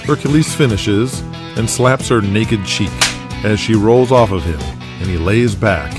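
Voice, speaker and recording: A man's voice: fairly low pitch, measured pace, music in the background. A man reading scripted narration for an audio drama as its narrator.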